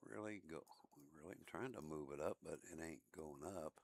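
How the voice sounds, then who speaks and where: A man with a low voice chatting casually with viewers while streaming a game, in a laid-back, talkative way. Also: normal speed, creaky, slight background noise. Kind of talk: casual live-stream chat.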